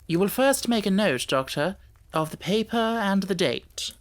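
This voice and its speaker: A man voicing a brilliant gentleman detective in an audiobook story, self-assured, urbane and didactic, with wry amusement. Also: high-pitched, expressive, unhurried, voice pushed up. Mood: amused.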